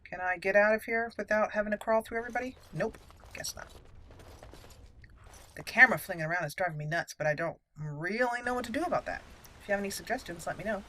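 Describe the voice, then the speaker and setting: A woman's voice: mid-range voice, quick pace, sharp tone, slight background noise. A woman hosting a roleplay playthrough, giving casual, chatty commentary and thinking aloud.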